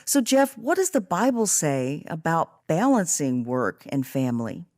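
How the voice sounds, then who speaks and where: A woman hosting a podcast, discussing a book in a reflective, expressive and emphatic way. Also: low-pitched, unhurried, dry recording, studio quality. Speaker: podcast host.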